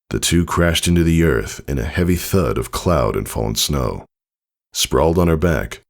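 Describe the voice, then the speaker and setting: Very deep man narrating, lively intonation. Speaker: fan fiction narrator. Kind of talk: scripted dramatic story narration.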